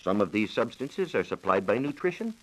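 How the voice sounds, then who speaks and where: American guy explaining science on old 1940s radio, lowish voice, really lively intonation, formal and scripted. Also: brisk, poor audio. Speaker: science reporter explaining research.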